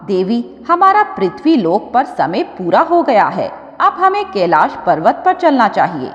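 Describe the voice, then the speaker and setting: A woman storyteller voicing a calm, wise, patient male god who counsels in a measured way in a devotional tale. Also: on the high side. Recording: dull audio with long reverb.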